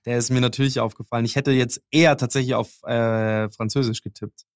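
A man's voice: medium pitch, fairly even intonation, fairly quick, no room sound. A male podcast host chats casually, recorded dry with no room sound. He rambles and repeats words while he searches for his point.

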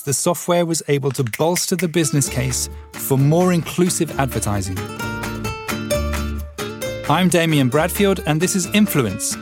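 A man hosting a podcast, narrating a scripted intro and then asking questions conversationally with fillers. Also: a little high. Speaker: podcast host.

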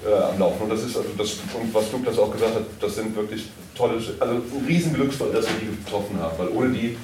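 A man's voice: on the low side, fast talker. A man speaking as a producer on a panel, explaining things in an informal, conversational way, recorded at a distance in an echoey room. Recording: echoey room, distant mic, faint noise.

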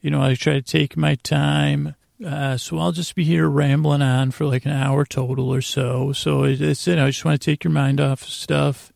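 A man hosting a bedtime podcast in a creaky, gravelly voice, meandering and halting, trailing off and circling back with deadpan goofy nonsense. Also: a little high, no echo, studio quality. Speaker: podcast host. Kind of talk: rambling bedtime sleep podcast monologue.

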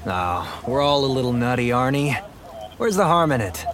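A man's voice: medium pitch, sing-song, moderate pace, close to an everyday voice. An actor playing an easygoing 1930s policeman in a noir audio drama, with casual, good-natured banter. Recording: noisy city street ambience.

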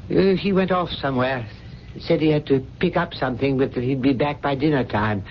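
An actor playing an ailing, devoted older butler in a radio drama, formal, deferential and hesitant. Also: male voice, mid-range voice, fairly quick, close to an everyday voice. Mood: tender, sorrowful. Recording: dull, noisy 1970s radio broadcast.

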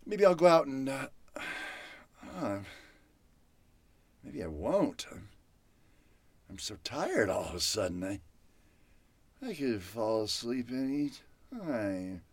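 A woman's voice: rumbling low, big pitch swings, slow pace, pauses often, breathy edge, no echo, full character voice. An actress playing a frightened, groggy woman trapped at home, in old-time radio horror style, stammering and dramatic. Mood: drowsy. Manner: stammering, breathless exclamations, dramatic.

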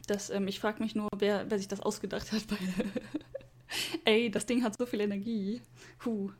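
A woman's voice: mid-range voice, little pitch movement, measured pace. Young woman, casual chatty storyteller, quotes others, light laughs. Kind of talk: casual chat.

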